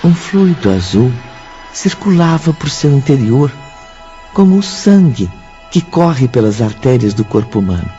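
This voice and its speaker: A man's voice: medium pitch, sing-song, measured pace, mellow. A Brazilian man narrating a scripted spiritual radio drama in a solemn manner. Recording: dull audio under prominent music.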